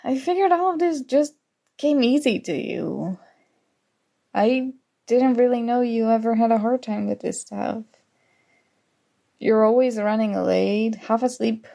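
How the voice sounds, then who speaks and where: A woman playing a flustered, teasing young student rival in an intimate ASMR roleplay, soft-spoken, mock-annoyed and hesitant. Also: a little high, vocal fry. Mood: defensive, grudging.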